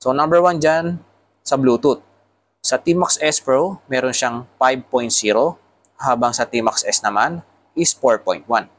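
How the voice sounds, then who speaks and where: A man's voice: mid-range voice, unhurried. A man with a Filipino accent giving a casual product tutorial, explaining things step by step as a motovlogger. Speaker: motovlogger reviewing motorcycle intercoms.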